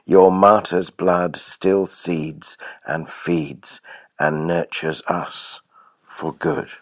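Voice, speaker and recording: Guy reading a solemn poem, really low voice, takes his time, super dry sound. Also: fairly even intonation, drawn-out words, dry recording. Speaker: poetry reader.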